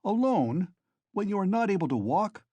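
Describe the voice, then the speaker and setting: A man reading an audiobook, voicing a loud, hot-tempered young man who scolds and shouts. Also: high-pitched, very animated, moderate pace, no room sound, highs cut off, pitched above the performer's own voice. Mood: furious scolding.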